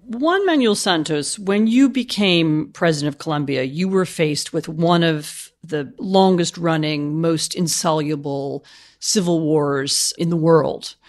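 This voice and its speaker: A woman interviewer asking probing, quick follow-up questions. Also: sits low, soft background music.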